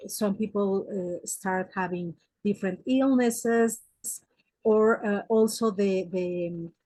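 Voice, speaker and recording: A woman in her forties from Mexico City with a Mexican Spanish accent, a native Spanish speaker answering interview questions as a psychotherapist, pausing often on "eh" and restarting phrases. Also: medium pitch, a little mellow, dry recording, rough recording. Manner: hesitant, many "eh" fillers and restarts. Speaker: psychotherapist guest.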